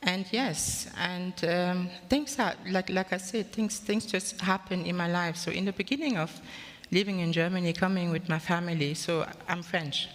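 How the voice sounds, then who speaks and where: A woman's voice: on the low side, normal speed, in a large hall. A woman from Cameroon, a guest telling her life story on stage, hesitant and restarting her sentences.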